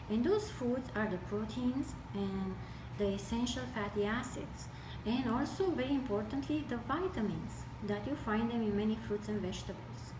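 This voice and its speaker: A woman with a Romanian accent explains health matters in a fairly high voice. Her phrasing is deliberate and broken into short pieces. She sounds some way from the mic in an echoey room. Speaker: health speaker with medical training. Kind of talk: explanatory health talk to camera. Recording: distant mic, echoey room, noisy background.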